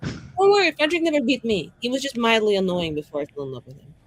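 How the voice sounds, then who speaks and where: A woman's voice: fairly high pitch, big pitch swings, fast talker. A woman improvising casual in-character dialogue for a tabletop game, with a playfully snarky, conversational manner. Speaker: player in a tabletop roleplaying game.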